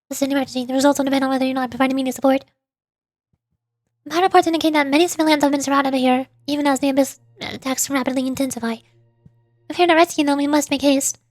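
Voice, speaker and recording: A woman streams a video game as a vtuber. Her voice is high and she talks in a playful, expressive way. Music plays faintly behind her. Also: dry recording. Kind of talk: game livestream commentary, reading game text aloud.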